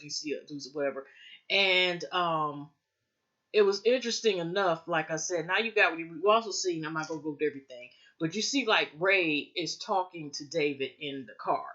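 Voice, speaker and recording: Bright rambling woman recapping a show. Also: deep voice, highs cut off. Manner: rambling, many fillers and restarts. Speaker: TV show reviewer. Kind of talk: casual episode recap.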